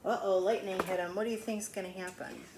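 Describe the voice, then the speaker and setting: A woman's voice: mid-range voice, steady tone, fast. Female storyteller for young children, emphatic teacherly read-aloud with asides, roomy echo and light background noise. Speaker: children's teacher reading a picture book aloud. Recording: echoey room with faint background noise.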